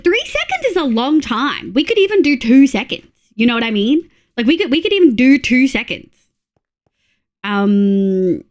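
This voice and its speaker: A chatty woman streaming a game, her voice thick and stuffy from congestion. She talks in an excitable, rambling way with lots of fillers, sitting a little above the usual pitch for a woman. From Australia. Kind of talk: casual livestream chat while playing.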